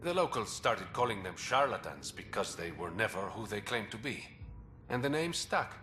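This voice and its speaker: Shady info broker, really low voice but crisp and lively. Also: male voice, normal speed, a little hiss or hum. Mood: confiding. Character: shadowy male underworld information broker.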